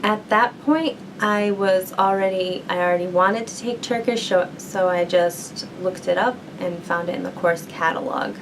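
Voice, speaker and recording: A woman's voice: lowish voice, normal speed, hardly any pauses, noisy background. A young woman, a university student, answering interview questions in a thoughtful way with many fillers. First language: English. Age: young adult. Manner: thoughtful, many ums and likes.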